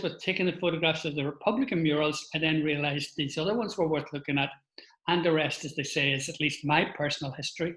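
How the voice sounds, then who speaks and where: A man's voice: on the high side, a bit bright, echoey room, low-quality recording. An older man from Northern Ireland with a Northern Irish accent, giving an informal talk with a slideshow, chatty, with restarts and asides to his listeners.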